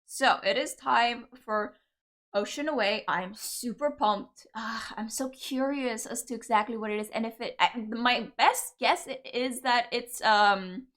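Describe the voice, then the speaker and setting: A young woman talks through songs in an easy, gushing way, stacking up fillers and praise as she reacts. Her voice sits a little high for a woman. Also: a little slow, a bit bright. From Cyprus. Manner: gushing, enthusiastic, lots of fillers. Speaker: reaction video host. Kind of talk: casual music reaction commentary.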